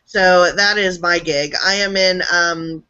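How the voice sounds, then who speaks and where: Hoarse, bright-voiced woman on a call. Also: fairly even intonation, slow. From South Florida, US. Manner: upbeat, punchy sales pitch delivery. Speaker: guest. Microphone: video call, not on camera. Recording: lo-fi online call audio.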